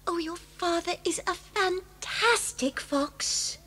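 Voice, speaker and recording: A woman's voice: very high-pitched, slow pace, pushed and bright, exaggerated voice. An actress playing an adoring, earnest mother fox in an audio story, with dramatic delivery.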